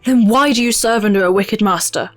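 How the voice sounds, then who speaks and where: Lady playing noble amazon warrior princess, firm n solemn, medium pitch, audio drama w soft music under it. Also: a little fast, no echo, natural voice. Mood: defiant.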